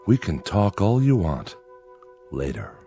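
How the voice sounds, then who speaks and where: A man's voice: sits low, wildly swooping pitch, takes it slowly, vocal fry, warm tone, faint music behind, heavily acted. A man playing a nervous farmer father with an American accent in a radio drama, folksy, hesitant and far too agreeable. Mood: folksy unease.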